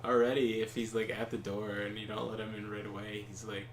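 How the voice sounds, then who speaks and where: Low-voiced man chatting in a roomy space. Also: level tone, brisk. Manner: rambling anecdotes with many fillers and laughs. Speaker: co-host of a game stream. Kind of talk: casual chat while gaming. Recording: roomy echo, faint background noise.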